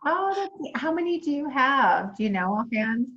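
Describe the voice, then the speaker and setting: A woman's voice: high-pitched, very animated, moderate pace, fairly bright tone, lo-fi sound. A woman from Hawaii hosting an interview, chatty and upbeat, readily agreeing with her guest. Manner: upbeat and chatty, affirming answers. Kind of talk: friendly interview.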